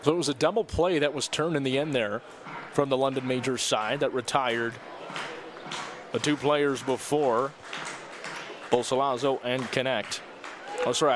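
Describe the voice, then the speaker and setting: A man's voice: mid-range voice, normal speed. A young man doing live, upbeat baseball play-by-play at an outdoor ballpark. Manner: upbeat, chatty, rises sharply on strikeouts. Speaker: play-by-play broadcaster.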